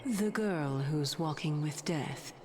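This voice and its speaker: A woman's voice: sits low, slow, noisy background. A woman voicing a sly, sardonic, teasing female titan in a game cutscene. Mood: teasing, composed. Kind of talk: scripted game cutscene dialogue. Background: music.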